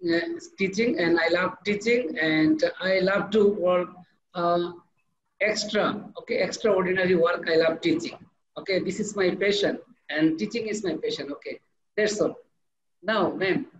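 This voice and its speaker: A man's voice: high-pitched, unhurried. A man with a Bangladeshi accent, a teacher moderating an online discussion and introducing the speakers. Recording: echoey, muffled, noisy call.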